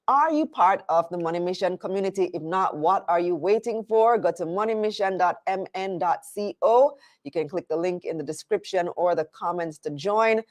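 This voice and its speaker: A woman hosting a live business news show, sounding stuffy while recovering from illness, talking to viewers in an upbeat, chatty way. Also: fairly low pitch.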